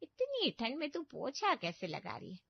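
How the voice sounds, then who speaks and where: A woman's voice: sits high, wildly swooping pitch, normal speed, creaky, husky, no room sound, highs cut off, full character voice. A woman voicing a meddling older aunt in a family cartoon, complaining and lecturing with exaggerated sympathy. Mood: mock pity. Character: meddling older aunt, falsely pitying, nagging and fault-finding. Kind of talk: Hindi family cartoon story voice acting.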